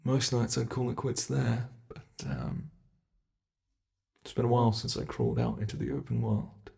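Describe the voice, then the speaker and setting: A man voicing a gleeful, menacing, centuries-old monster under the bed in an ASMR horror roleplay, teasing in a whispery way with drawn-out words. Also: medium pitch, very animated, creaky, dark tone. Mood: smug, gloating.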